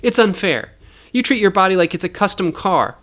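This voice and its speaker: A man's voice: high voice, big pitch swings, moderate pace, fairly bright tone, voice pushed up. A man voicing a grieving, defiant young girl in an audio story, pleading and indignant. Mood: indignant grief. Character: desperate, grieving, defiant teenage girl rebel.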